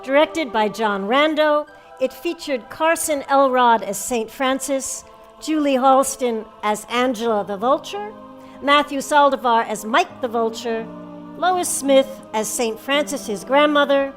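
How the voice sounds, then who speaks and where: A woman's voice: high voice, takes it slowly, faint music behind. A woman host and interviewer, reading credits and putting prepared questions to guests. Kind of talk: scripted credits and interview hosting.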